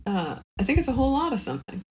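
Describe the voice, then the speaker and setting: A woman's voice: fairly high pitch, sing-song, rapid-fire, darkish tone, slight background noise, rough recording. A woman chatting casually as a comedy podcast guest, hesitating and thinking aloud. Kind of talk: casual chat.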